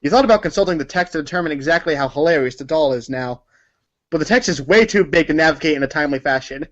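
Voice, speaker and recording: Casting: male storybook narrator, higher-pitched voice, second-person narration, slightly muffled lo-fi sound. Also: quick pace, a bit bright. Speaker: narrator of a group reading. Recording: dull lo-fi audio.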